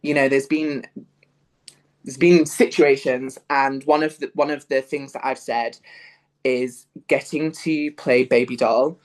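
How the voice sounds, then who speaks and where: A man's voice: mid-range voice, fairly even intonation, measured pace, poor audio. A young man from Yorkshire with a Northern English accent, chatting as an interview guest, full of fillers and restarts. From Yorkshire, England. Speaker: guest, musical theatre performer. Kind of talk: casual interview chat.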